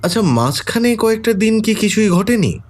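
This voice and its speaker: An actor playing a composed, inquisitive detective in an audio drama. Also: male voice, sits high, sing-song, normal speed. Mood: curious, probing. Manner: inquisitive, questioning. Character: probing, composed male detective.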